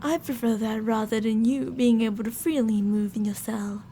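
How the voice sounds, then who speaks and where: A woman's voice: fairly high pitch, fairly even intonation, moderate pace, warm tone, slight background noise, close to an everyday voice. A woman playing teasing, possessive female mad scientists in an ASMR roleplay, coaxing and domineering with sweet menace.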